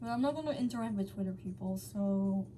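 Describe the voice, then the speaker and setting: A woman's voice: medium pitch, brisk, mellow, a little hiss or hum. A woman hosting a dramatic fan fiction reading, theatrical but casual, sometimes stumbling over words. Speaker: story reader, channel host.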